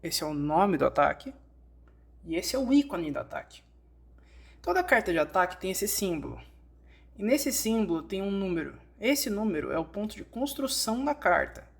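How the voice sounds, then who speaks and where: Woman, Brazilian accent, mid-range pitch, scripted tutorial narrator, step-by-step explanatory delivery, fan-dub style. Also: sing-song, moderate pace, vocal fry, breathy edge.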